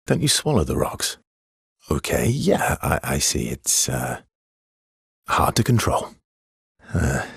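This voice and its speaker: A weary, gentle adventurer in a video game, very husky and breathy. His low voice takes its time and sounds dry and close, with measured, understated lines. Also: dry recording, exaggerated voice. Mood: teasing. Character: weary, gentle, guilt-burdened adult male adventurer.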